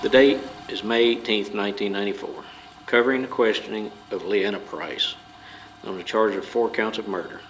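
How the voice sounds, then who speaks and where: A man's voice: lowish voice, steady tone, normal speed, lightly acted. A man playing a stern, formal police officer questioning a suspect in an audio drama. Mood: stern, accusing. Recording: clearly noisy background.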